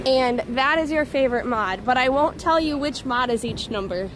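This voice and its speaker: A woman's voice: sits high, animated, normal speed. A young woman hosting a live stream on a phone while she walks outdoors, chatting and answering viewer comments, her voice slightly strained. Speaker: livestream host. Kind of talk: casual live stream chat while walking. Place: outdoors on a busy city street. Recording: loud outdoor street noise. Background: street traffic.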